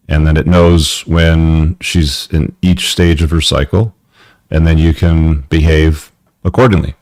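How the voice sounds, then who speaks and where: A man's voice: rumbling low, darkish tone, dry recording. A man hosting a live call-in advice show, giving blunt, casual advice with frequent ums while wearing headphones. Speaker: podcast host.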